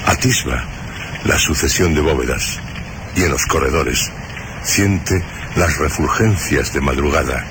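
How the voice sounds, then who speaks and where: A man presenting and narrating a radio drama in a solemn, measured, literary style. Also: rumbling low, background noise. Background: music.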